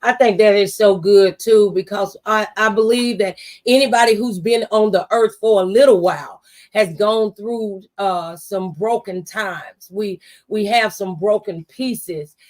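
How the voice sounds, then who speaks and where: Woman, talk show host, mid-pitched voice, encouraging, testifying, preacher-like delivery. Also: unhurried, darkish tone. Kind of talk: faith-based interview with preacher-like exhortation.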